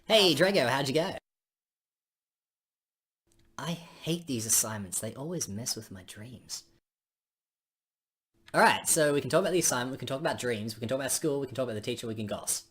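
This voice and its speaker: A woman with a husky voice reading game dialogue aloud on a stream, with casual asides. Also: a bass voice, lively intonation, brisk, pauses often, a bit bright.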